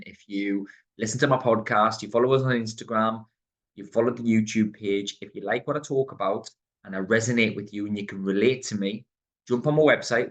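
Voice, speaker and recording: A man's voice: fairly low pitch, dry recording. A man in his thirties with a Geordie accent, hosting a podcast and telling his own story bluntly, with a streetwise tone and the odd laugh mid-sentence. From Newcastle upon Tyne, England. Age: 30s. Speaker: podcast host. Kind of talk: candid personal storytelling.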